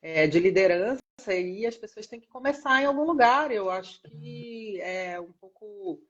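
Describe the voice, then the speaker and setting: A woman's voice: mid-range voice, animated, unhurried, lo-fi sound. A middle-aged woman with a mixed Rio and São Paulo accent, chatting casually as an interview guest, rambling a little and often adding tag questions. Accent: Brazilian, mixed Rio and São Paulo. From Brazil, born in Rio and raised partly in São Paulo state. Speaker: interview guest, lawyer with own practice. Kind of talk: casual live conversation. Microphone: internet video call.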